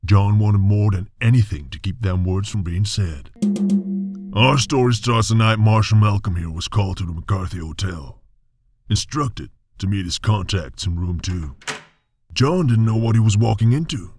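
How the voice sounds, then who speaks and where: Man as a low-voiced folksy western storyteller. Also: lively intonation, a little mellow, lightly acted. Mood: folksy, wry. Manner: folksy, drawn-out, wry storytelling. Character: folksy old-west storyteller. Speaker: narrator. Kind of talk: scripted western radio serial narration. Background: music behind the voice.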